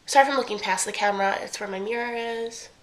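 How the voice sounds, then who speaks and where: A woman's voice: on the high side, quick pace, fairly bright tone, low-quality recording. A young woman with a stuffy, congested voice, chatting casually through a makeup tutorial and often correcting herself mid-sentence. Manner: chatty, rambling, self-correcting. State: congested from a cold. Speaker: beauty vlogger.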